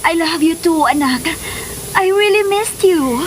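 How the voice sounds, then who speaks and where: A woman's voice: very high voice, lively intonation, a little slow. An actress playing an affectionate, middle-aged mother in a radio drama, with gushing, emotive delivery and English phrases mixed in. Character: affectionate, busy mother savoring secret romantic happiness. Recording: noisy, hissy radio drama audio.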